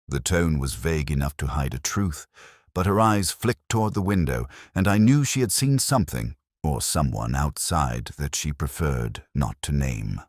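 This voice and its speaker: Very deep-voiced man narrating a detective story. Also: animated, no room sound, studio quality. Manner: measured, literary, reflective. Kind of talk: scripted first-person detective story narration.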